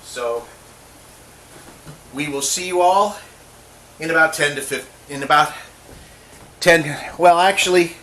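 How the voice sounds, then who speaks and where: A man's voice: a little high, slow pace, pauses often, pushed and bright. A middle-aged man hosting a casual home cooking show, chatty and joking to himself as he works. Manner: jokey, chatty, talks to himself while cooking. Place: home kitchen. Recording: roomy kitchen sound from a distant mic, clear background noise.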